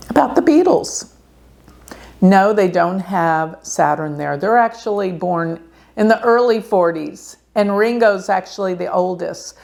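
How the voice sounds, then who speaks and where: A woman astrologer giving an earnest, slow warning talk to her viewers, clearing her throat now and then. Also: mid-range voice, a little mellow. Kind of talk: casual talk to camera.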